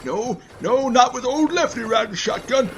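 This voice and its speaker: A man's voice: very high-pitched, expressive, normal speed, a little hiss or hum. A man playing a garrulous old stagecoach shotgun guard with a folksy, rambling frontier drawl in a Western audio drama. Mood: cheerfully chatty.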